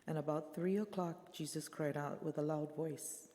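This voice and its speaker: A woman's voice: deep voice, steady tone, normal speed, warm tone. A woman narrating scripture as part of a readers' theater in a church. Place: church sanctuary. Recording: hall reverb, church sanctuary.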